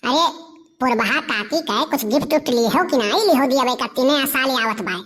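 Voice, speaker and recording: A cheeky little schemer from an Awadhi cartoon comedy, played by a woman. The boy's voice is very high. He wheedles and argues like a born plotter. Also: expressive, a little fast, a little hiss or hum. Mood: scheming. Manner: wheedling, argumentative. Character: cheeky, scheming village boy, nephew.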